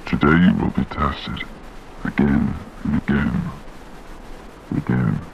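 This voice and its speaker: An actor playing an ominous, solemn entity in a horror game, delivering cryptic, grandiose pronouncements in a gravelly voice. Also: male voice, rumbling low, steady tone, measured pace, dull audio, a little hiss or hum, exaggerated voice. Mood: solemn, foreboding. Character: ominous, solemn male entity addressing a stranger before trials. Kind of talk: scripted horror game monologue.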